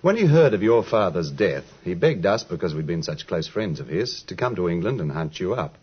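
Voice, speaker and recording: An actor playing a polite, persuasive gentleman with a measured, slightly hesitant manner in a 1950s radio drama. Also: low-pitched, big pitch swings, husky, full character voice. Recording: dull old 1950s radio recording.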